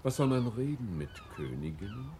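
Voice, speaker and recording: An actor playing a hearty, gallant, hard-drinking former navy commander from Hamburg, bluff and flattering, in a theatrical radio drama. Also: male voice, medium pitch, normal speed, background noise, natural voice. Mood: gallant, wistful. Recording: faint music behind.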